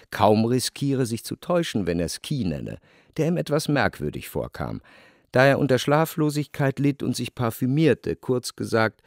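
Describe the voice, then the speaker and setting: Dry-recorded reflective literary narrator. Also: male voice, mid-range voice, dry recording. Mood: dryly observant. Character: reflective first-person male narrator, refined, observant gentleman. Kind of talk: literary scripted narration in a radio play.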